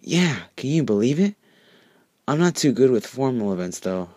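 A man's voice: medium pitch, very animated, normal speed, darkish tone, no echo, voice pushed up. Casting: breezy young female unicorn for a storybook, cheeky, easygoing, played by a man, dry sound. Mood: eager, casual.

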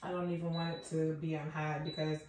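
A woman's voice: sits low, level tone, fairly quick. A woman, chatting informally to viewers in a home vlog and often repeating her phrases. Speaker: lifestyle vlogger. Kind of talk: casual vlog chat to viewers. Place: rooms at home, indoors. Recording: roomy echo, background noise.

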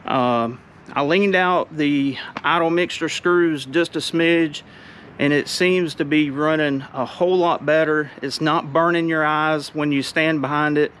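A man, chatting excitedly in a casual vlog about his race truck, outdoors and then driving in the cab. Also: a little high, a little slow. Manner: excited, chatty, drawling shop talk. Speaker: car builder vlogger. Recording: loud engine noise throughout.

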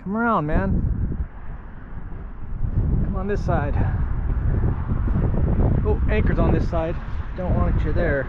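A high-voiced man narrates casually from a kayak, muttering short remarks to himself and to the fish he catches. The action-camera audio is dull and muffled. Wind and water noise run clearly underneath. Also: slow, long pauses. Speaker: kayak fishing vlogger. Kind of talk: casual narration while fishing. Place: on a kayak outdoors on shallow water.

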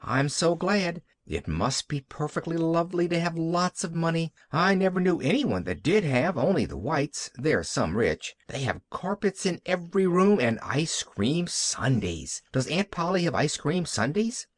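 Guy doing a glad little orphan girl from a storybook, rambly n breathless, voice up high, super lively. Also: unhurried, few pauses, no echo. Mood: delighted, eager.

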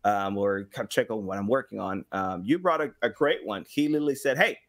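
A man in his thirties chatting casually as a podcast guest, telling stories in an animated way and acting out little quotes. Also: on the low side, fairly quick. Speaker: podcast guest, game studio engagement director and sound designer. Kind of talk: casual conversational storytelling. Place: small home room with guitars.

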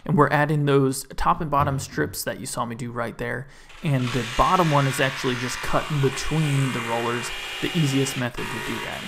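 A man's voice: mid-range voice, fairly even intonation, normal speed, background noise. A man in his thirties, host of a woodworking channel, narrating his build in a voice-over and explaining each step with upbeat enthusiasm for his tools.

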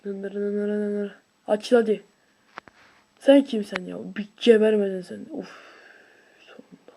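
An excitable video creator with a high voice, giving casual, slangy gameplay commentary with shouts and whoops. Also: measured pace, creaky.

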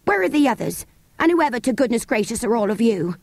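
Lady doing a bossy cross nursemaid for a kids audiobook, scolding, very animated. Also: fairly high pitch, brisk, creaky, no room sound, pitched above the performer's own voice, full character voice. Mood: flustered, suspicious. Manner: stern, scolding, emphatic. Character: scolding, scornful English nursemaid, sharp and indignant.

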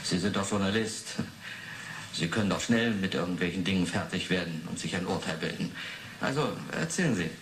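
A man's voice: sits low, lively intonation, fairly quick, a bit bright. Curt male interrogator, echoey room. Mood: probing. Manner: curt, insistent questioning. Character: probing, sceptical male police interrogator. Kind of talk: 1980s German radio play acting. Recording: echoey room, faint noise.

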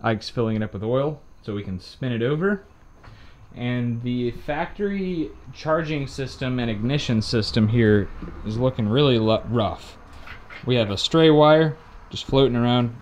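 A man co-hosting a garage engine build, joking around in relaxed banter. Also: mid-range voice, measured pace. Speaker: co-host. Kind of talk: casual workshop chat. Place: garage workshop.

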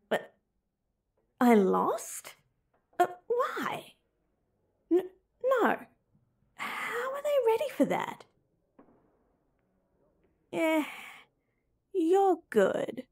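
A woman playing a high, gravelly-edged flustered crush. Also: darkish tone, dry recording, studio quality, full character voice. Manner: playful teasing, slipping into muttered whispered asides. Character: flustered young woman, childhood friend with crush. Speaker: voice actor. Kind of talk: romantic roleplay audio.

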